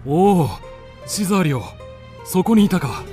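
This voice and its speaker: An actor playing a dignified, lovestruck duke in a radio drama, speaking in a lordly, formal, theatrical and earnest way. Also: male voice, sits high, animated, normal speed, dark tone, faint music behind. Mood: calling, pleased.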